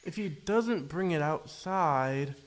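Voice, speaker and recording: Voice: medium pitch, lively intonation, slow pace. An actor playing an eager, naive twelve-year-old boy in a children's audio drama, earnest and a little hesitant.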